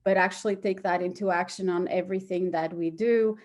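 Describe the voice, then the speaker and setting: A woman's voice: on the low side, steady tone, brisk, a little mellow. A woman from Portugal, answering conversationally as an interview guest. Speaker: interview guest, start-up hub operations chief.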